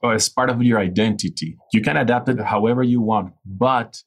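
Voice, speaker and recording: Venezuelan man, low husky rasp, reflective podcast guest. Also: a little fast. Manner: reflective, frequent restarts and "you know". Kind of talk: casual interview conversation.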